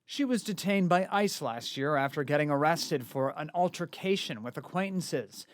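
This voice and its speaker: A male news reporter narrating an investigative report in a measured, earnest way. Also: fairly high pitch, animated, no echo, clean studio sound.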